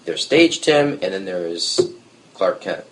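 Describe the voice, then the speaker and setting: A man with a fairly low voice tells animated stories from the stage, tossing in jokes as he goes. A faint hum of room ambience sits behind him. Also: slow. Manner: storytelling, animated, jokey asides. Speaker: guest musician, bandleader. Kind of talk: casual podcast conversation.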